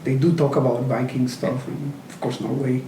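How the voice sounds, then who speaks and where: A man's voice: medium pitch, level tone, moderate pace, mellow. A man from Rica, hosting a casual podcast chat, curious and chatty as he asks questions. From Costa Rica. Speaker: podcast host. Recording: roomy, distant mic, faint noise.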